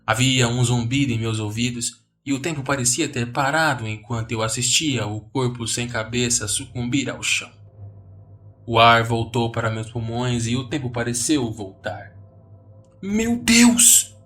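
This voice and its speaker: A man's voice: medium pitch, steady tone, normal speed, fairly bright tone. A man with a Brazilian accent giving a dramatic scripted narration of a horror story. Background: music.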